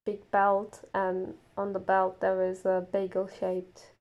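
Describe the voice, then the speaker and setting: A woman's voice: medium pitch, completely flat delivery, takes it slowly, a little mellow, highs cut off. A young woman whose first language is not English, a player on a role-playing podcast, speaking hesitantly with many fillers and giggles. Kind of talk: casual chat.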